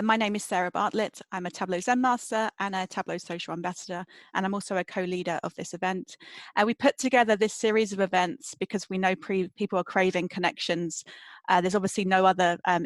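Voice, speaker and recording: A woman hosting and introducing an online community event over a video call, brisk and organized, with frequent fillers. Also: lowish voice, no echo. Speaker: event host.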